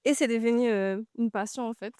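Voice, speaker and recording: A woman in her twenties from French Guiana, a guest speaking in a relaxed, hesitant way with frequent fillers, outdoors on a trail. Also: on the high side, a little fast, smooth, no echo. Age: 20s. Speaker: guest, medical student. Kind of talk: casual interview.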